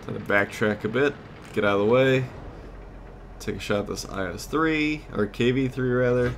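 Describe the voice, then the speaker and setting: A man's voice: mid-range voice, normal speed, vocal fry, a little hiss or hum. A man giving casual gameplay commentary as a let's play host, thinking aloud in a conversational way.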